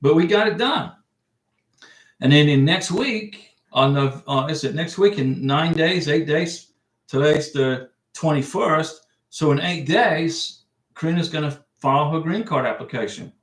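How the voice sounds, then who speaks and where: A man's voice: a little high, a little slow, echoey room. A man hosting a livestream, chatting casually and rambling as he reads viewer comments and answers them. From United States. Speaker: livestream host giving visa advice. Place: home room.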